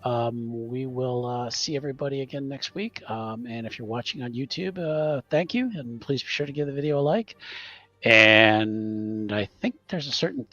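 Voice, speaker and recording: A man hosting a casual group show, chatting and signing off in an informal, halting way. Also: mid-range voice, pushed and bright. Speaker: game master and host. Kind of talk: casual show sign-off and chat. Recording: slightly dull lo-fi audio, music behind. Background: music.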